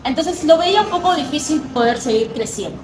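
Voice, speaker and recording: A young Peruvian woman with a Peruvian Spanish accent, chatting quickly and animatedly as an interview guest. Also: sits high, lively intonation, echoey room, music in the background. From Peru. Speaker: guest, personal trainer. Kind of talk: casual interview.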